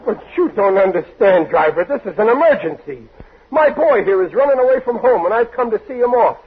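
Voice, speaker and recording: A bumbling, soft-hearted dad from a 1940s radio comedy, high in pitch and swinging widely up and down. He blusters and stammers his way through muddled excuses, on a thin, tinny old recording. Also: animated, a little fast, noisy background. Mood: earnest, flustered. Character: bumbling, soft-hearted working-class father, excitable and muddled.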